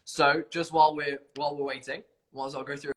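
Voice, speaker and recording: A man's voice: fairly high pitch, level tone, fairly quick, heavy background noise. A man hosting an informal live competition stream, chatty and self-deprecating, bumbling along as he presents. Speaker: competition livestream host.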